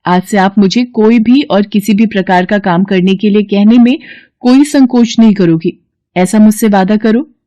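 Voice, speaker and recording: A woman's voice: medium pitch, normal speed, dark tone, dry recording, lightly acted. A woman voicing a polite, caring and dutiful young sister-in-law who speaks respectfully to her elders in a family story. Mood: caring, insistent.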